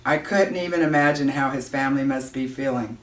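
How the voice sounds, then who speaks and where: A woman giving an expressive read-aloud of a children's novel, narrating as a storyteller. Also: very deep voice, a little fast. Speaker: audiobook-style reader. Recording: roomy echo, distant microphone.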